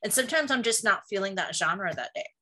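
A woman talking casually in a relaxed group conversation. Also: medium pitch, fast talker. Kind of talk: casual chat.

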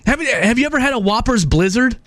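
A man's voice: very high voice, lively intonation, quick pace, a bit bright. An American man, middle-aged, a morning radio host trading playful, jokey banter in a casual style.

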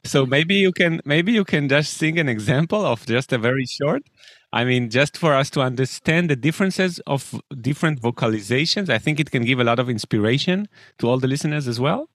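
A man's voice: medium pitch, expressive, fairly quick, a bit bright, dry recording. Earnest man hosting a podcast. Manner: earnest, wordy questions with fillers. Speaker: podcast host. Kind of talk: interview hosting.

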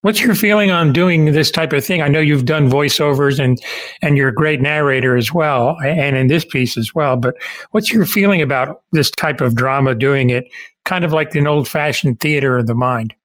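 A man's voice: fairly high pitch, moderate pace, a bit bright. A man hosting a podcast interview in a casual, effusive and informal way. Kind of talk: casual enthusiastic interview chat.

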